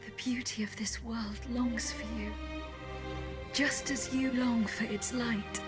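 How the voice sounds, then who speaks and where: A devoted female automaton from a video game, speaking slowly and earnestly in a fairly high voice. She, and music plays clearly behind her. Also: fairly even intonation. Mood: tender, breathy. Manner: dramatic, earnest, deliberate. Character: devoted female automaton bodyguard, defiant yet tender.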